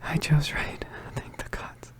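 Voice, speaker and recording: A man playing a badly injured, weary young male witch in an ASMR roleplay, murmuring haltingly in a weak, pained voice. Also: close to an everyday voice. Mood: relieved, drained. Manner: halting, hesitant, murmured, understated. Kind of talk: ASMR roleplay, soft intimate whispering.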